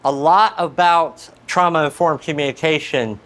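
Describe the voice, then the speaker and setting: Male sounding trainer, medium pitch, explaining stuff and coaching people. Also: a little slow, a bit bright. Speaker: program manager and trainer. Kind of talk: instructional talk and coaching.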